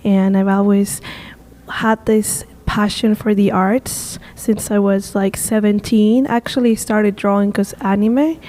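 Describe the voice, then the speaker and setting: Eager young Peruvian woman, flat-toned. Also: mid-range voice, slow. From Peru. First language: Spanish. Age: 20s. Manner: eager, rambling with fillers and restarts. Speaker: guest, street art tour guide. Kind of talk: casual interview answers.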